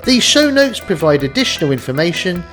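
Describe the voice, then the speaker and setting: Male podcast host, scripted read, slightly high, animated intonation. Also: faint music behind. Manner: clear, measured read-out delivery.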